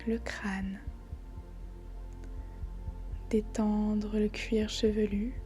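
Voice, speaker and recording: A woman guiding a body scan meditation in a soft, breathy, near-whispering voice, calm and soothing, in short phrases with long pauses. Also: mid-range voice. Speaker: meditation guide. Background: faint background music.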